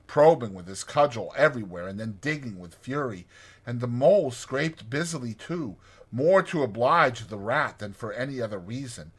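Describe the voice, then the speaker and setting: A man's voice: mid-range voice, fairly bright tone, husky. A man reading a classic storybook aloud as a dramatized audiobook narrator.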